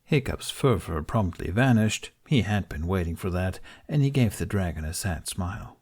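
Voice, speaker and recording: Low-pitched male audiobook narrator. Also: animated, normal speed, a little mellow. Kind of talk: scripted fiction narration.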